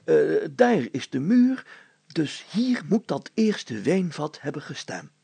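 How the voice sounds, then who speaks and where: A man voicing a pompous, fussy, excitable town mayor in a story, exclaiming and stammering when flustered. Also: fairly high pitch, takes it slowly, gravelly, slightly rough, no echo, exaggerated voice. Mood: excited, approving.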